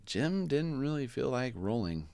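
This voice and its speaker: A man's voice: mid-range voice, very animated, unhurried, gravelly, darkish tone, no room sound, clean studio sound. A man reading a children's storybook aloud with playful, chatty asides to his young listeners. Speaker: children's book read-aloud creator.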